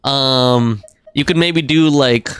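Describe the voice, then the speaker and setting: A man's voice: mid-range voice, slow, fairly bright tone, smooth, dry recording, studio quality. A man livestreaming a game, chatting casually with viewers and reading their messages aloud.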